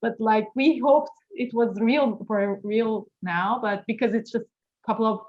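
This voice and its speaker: A woman in her thirties from Iran with a Persian accent, speaking casually and a little haltingly as an interview guest. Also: fairly high pitch, highs cut off. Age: 30s. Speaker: architect, award-winning interview guest.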